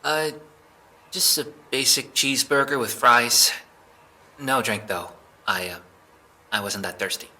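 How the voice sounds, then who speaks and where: Bright-voiced, hesitant young man under questioning acted by a man. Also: medium pitch, expressive. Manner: hesitant, halting, defensive. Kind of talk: naturalistic audio drama acting.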